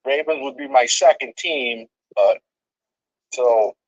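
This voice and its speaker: A man's voice: medium pitch, slow, lo-fi sound. A co-host from Maryland debating sports, teasing and tripping over his words.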